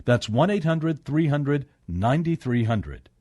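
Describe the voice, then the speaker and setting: A man reading a scripted radio announcement with a lively, polished delivery. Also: medium pitch, unhurried. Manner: smooth, lively promo read. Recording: announcement over faint music.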